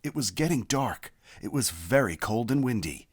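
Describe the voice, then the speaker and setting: A man reading a children's picture book aloud as a storyteller, with a deliberate, expressive delivery. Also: medium pitch, slightly rough. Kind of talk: scripted read-aloud narration.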